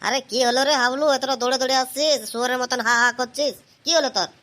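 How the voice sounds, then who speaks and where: A woman's voice: sits high, steady tone, quick pace, a bit bright. A woman voicing a coarse young village man in an amateur comedy cartoon, talking in crude, informal slang. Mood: grumbling.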